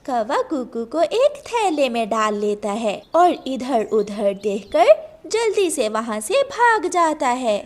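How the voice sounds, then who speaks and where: A woman's voice: high voice, big pitch swings, slow. A woman reading scripted narration for a children's cartoon story.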